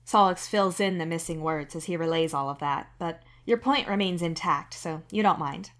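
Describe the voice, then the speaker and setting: Low-pitched woman narrating fiction. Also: normal speed.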